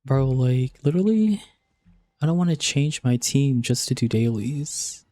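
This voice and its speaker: Bright-voiced, slangy young male streamer. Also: mid-range voice, expressive, a little slow. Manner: slangy, informal. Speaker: video game streamer. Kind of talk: casual chat while gaming.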